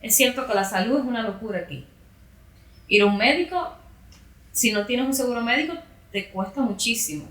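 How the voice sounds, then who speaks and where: Bright-voiced woman, echoey. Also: fairly high pitch, lively intonation, a little fast, pauses often, far from the mic. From Cuba. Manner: earnest, advising tone. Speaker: guest, emigrant mother. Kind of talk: casual conversation.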